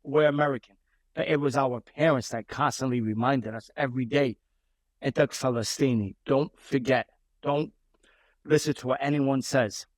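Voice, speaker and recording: A man's voice: medium pitch, moderate pace, no room sound. Dry-voiced New man telling his story. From Brooklyn, New York. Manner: repeats words and restarts often. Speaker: guest. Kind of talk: casual interview storytelling.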